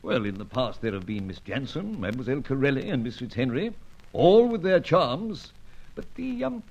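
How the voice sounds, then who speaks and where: A man's voice: mid-range voice, big pitch swings, normal speed, darkish tone, rough recording. A man playing a teasing, jovial spy chief in a vintage radio serial, pompous and avuncular, with an upper-class British accent. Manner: pompous, old-fashioned, avuncular.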